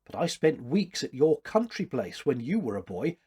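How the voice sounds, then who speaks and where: A man's voice: medium pitch, big pitch swings, normal speed, dark tone, slightly rough. A man voicing a stately older woman in a storybook, blunt and teasing, with formal, arch wit. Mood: amused, stately.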